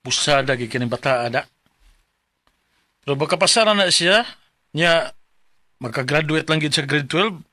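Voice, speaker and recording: A man's voice: on the high side, lively intonation, normal speed, pauses often, bright, forceful tone, no echo, studio quality. A man acting an exasperated, caring younger brother in a radio drama, with a Cebuano Filipino accent. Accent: Filipino, Cebuano-speaking. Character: exasperated, protective younger brother, hot-tempered but caring.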